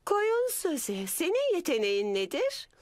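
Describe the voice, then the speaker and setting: A woman's voice: extremely high pitch, huge pitch swings, measured pace, full character voice. A woman voicing a kindly, encouraging gazelle schoolteacher in a children's cartoon, with a sing-song manner. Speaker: teacher. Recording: noisy background.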